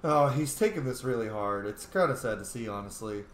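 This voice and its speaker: A man's voice: medium pitch, lively intonation, a little fast. A man giving casual, jokey playthrough commentary on a role-playing game, riffing as he goes. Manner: jokey, riffing, easily amused. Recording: roomy echo, faint noise.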